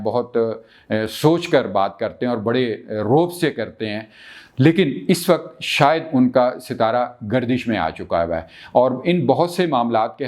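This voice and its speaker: A man with a Pakistani accent speaks to viewers as a political analyst and show host, deliberately and with frequent drawn-out hesitations. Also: mid-range voice, a little mellow. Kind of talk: political commentary and storytelling to viewers.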